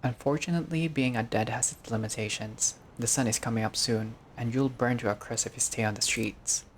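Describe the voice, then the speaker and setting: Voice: medium pitch, brisk, a little hiss or hum. A gamer reading a visual novel aloud in a casual let's-play style, breaking off into joking, sweary asides.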